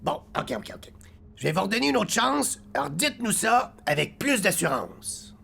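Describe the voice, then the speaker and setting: A man plays a polite cartoon underling with a high, very creaky voice and a lively lilt. He speaks Quebec French and sounds skeptical and hesitant, needling carefully. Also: moderate pace, a little hiss or hum, exaggerated voice. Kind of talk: comic cartoon dialogue.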